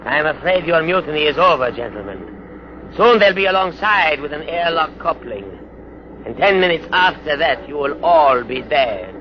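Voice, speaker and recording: A man's voice: on the high side, expressive, normal speed, lightly acted. Man playing a smug spaceship captain, old radio. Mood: smug, gloating. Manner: formal, gloating. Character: smug, menacing male spaceship captain facing mutiny. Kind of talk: 1950s radio drama acting. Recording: muffled, noisy old radio broadcast.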